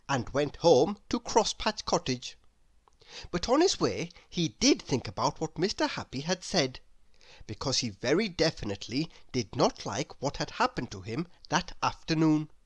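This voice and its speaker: Slow, expressive woman reading a storybook. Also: low-pitched, slightly rough, no echo. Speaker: storybook reader. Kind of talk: animated children's read-aloud narration.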